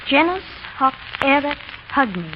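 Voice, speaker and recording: An eager young schoolboy, polite and high-pitched. He recites slowly and carefully. The vintage radio drama recording is clearly noisy. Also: very animated, muffled recording. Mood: dutiful, careful. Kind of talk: 1930s radio drama acting. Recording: crackly, noisy old radio broadcast.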